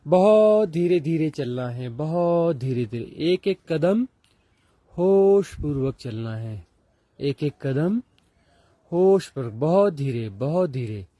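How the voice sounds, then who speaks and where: A man with an Indian accent teaching a guided meditation, speaking deliberately in short repeated phrases with pauses. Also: on the high side, lively intonation, warm tone, no room sound. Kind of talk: guided walking meditation instruction.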